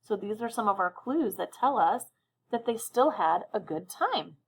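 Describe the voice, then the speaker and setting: Female elementary teacher, medium pitch, encouraging and instructional, guiding young kids through a read-aloud. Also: animated, normal speed, breathy edge. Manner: encouraging, addresses children directly with check-in questions.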